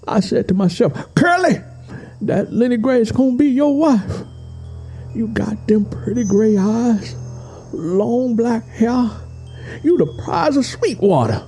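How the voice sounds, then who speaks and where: A man's voice: sits high, mellow, slightly rough, heavily acted. A man playing a possessive, menacing Southern suitor in an audio drama, insistent and emphatic. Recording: music behind the voice. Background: music.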